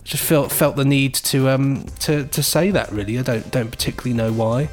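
Music plays softly behind a British man of medium pitch. He chats as a podcast co-host in a jokey, whimsical way, rambling along in loose, casual banter. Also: steady tone, normal speed. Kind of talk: casual comic chat.

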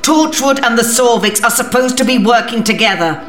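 A woman's voice: fairly high pitch, normal speed, close to an everyday voice. A woman playing a haughty, indignant alien leader held captive in an audio drama, making demands. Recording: echoey cell, music underneath.

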